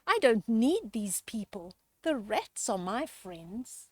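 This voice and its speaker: A woman's voice: a little high, very animated, slow, darkish tone, no room sound, clean studio sound, full character voice. A woman voicing a lazy, stubborn litterbug man from a children's storybook, defiant and dismissive. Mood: stubborn defiance.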